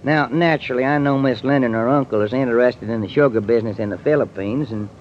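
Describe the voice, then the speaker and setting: A man's voice: mid-range voice, fast talker, lightly acted. An actor playing a folksy, boastful and sly Texan businessman in an old radio drama, with a jovial drawl. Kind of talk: 1940s-1950s radio acting. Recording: noisy, muffled old radio recording.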